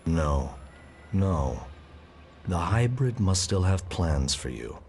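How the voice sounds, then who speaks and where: A man's voice: sits low, very animated, slow, a little mellow, heavily acted. A man playing a stern, menacing, loyal zealot in a sci-fi game, speaking in a severe and formal manner. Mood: stern, threatening. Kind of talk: dramatic video game acting. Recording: faint ambient noise.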